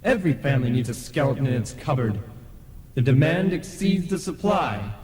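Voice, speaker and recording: An actor playing a stern, pompous ghost who presides as judge, declaiming officiously in a radio play. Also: male voice, medium pitch, lively intonation, moderate pace, a little mellow, lightly acted. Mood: indignant. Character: stern, pompous male ghost chairman of a tribunal. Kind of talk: old-time radio play acting. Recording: echoey long reverb, faint tape noise.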